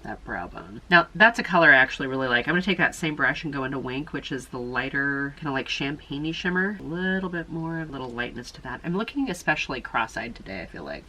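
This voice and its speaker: A woman chatting casually through a makeup tutorial, thinking aloud with quick asides and a slightly nasal sound. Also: a bass voice, pushed and bright, slight background noise, rough recording. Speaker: beauty vlogger.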